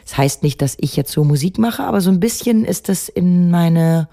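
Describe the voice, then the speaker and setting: A middle-aged woman, a songwriter guest, talking reflectively in an interview and pausing often to search for her words. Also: on the low side, animated, mellow. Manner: thoughtful, searching for words with many fillers.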